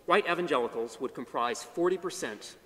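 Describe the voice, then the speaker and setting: A middle-aged man giving an academic history lecture from a podium on a large chapel stage, measured, with emphatic phrase openings that fall to low endings. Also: lively intonation, a bit bright. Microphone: podium mic. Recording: long hall reverb.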